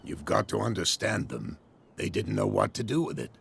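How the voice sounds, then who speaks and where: Deeply gravelly proud harbor captain. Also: male voice, sing-song, moderate pace, slight background noise, exaggerated voice. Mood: assured. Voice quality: gravelly, raspy. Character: proud, unhurried male harbor captain, assured and courteous. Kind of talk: video game cutscene dialogue.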